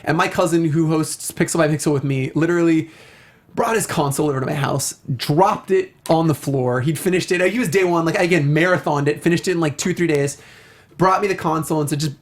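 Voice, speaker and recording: A man's voice: a little high, brisk. A man co-hosting a casual discussion show, telling anecdotes in a chatty, enthusiastic way. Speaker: talk show co-host. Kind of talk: casual video game and film discussion.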